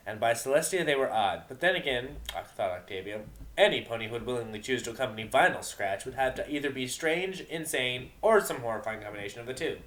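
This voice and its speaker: A man's voice: mid-range voice, animated, fast, a bit bright. A man narrating a story in an amateur dramatic reading.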